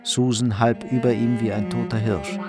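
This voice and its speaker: A man's voice: mid-range voice, flat delivery, moderate pace, loud music behind the voice. A man narrating a crime radio play in a scripted, literary storytelling style.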